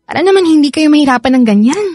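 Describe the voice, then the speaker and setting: A woman's voice: high voice, animated, rapid-fire, a little mellow, faint music behind. A Filipino-accented woman acting in a radio drama as a patient, concerned friend giving sensible advice. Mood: concerned, advising.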